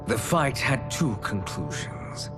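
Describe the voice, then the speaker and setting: Slow, smug sorcerer villain over loud music. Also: male voice, medium pitch, very animated. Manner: smug, condescending, deliberate. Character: scheming, arrogant male sorcerer villain. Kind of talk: dramatic game cutscene acting.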